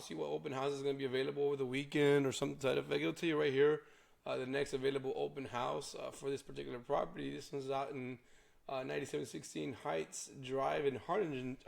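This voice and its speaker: A hoarse young man, a realtor chatting loosely through a casual vlog walkthrough with lots of fillers. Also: medium pitch. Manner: rambling, filler-heavy. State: hoarse from a cold.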